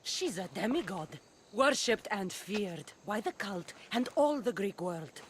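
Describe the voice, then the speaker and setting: Woman acting a scornful, menacing demigod warrior, animated delivery. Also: mid-range voice, measured pace, slight background noise, lightly acted. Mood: cold contempt. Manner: scornful, threatening, haughty. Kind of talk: dramatic video game acting.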